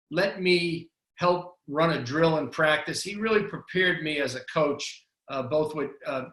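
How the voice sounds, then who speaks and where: A man's voice: a little high, sharp tone, echoey room, low-quality recording. A middle-aged man with a Boston accent, a basketball coach telling his career story in a casual interview, with frequent restarts. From Boston area, USA.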